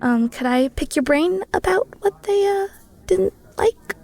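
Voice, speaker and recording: A woman's voice: extremely high pitch, animated, a little slow, gravelly, a little mellow, heavily acted. A woman playing an enthusiastic, flirty cheesemonger in a roleplay audio, chatty and theatrical, talking straight to the listener. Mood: flustered, wounded pride. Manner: playful, theatrical, chatty, with flustered stammers. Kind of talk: roleplay audio drama, one-sided conversation with the listener.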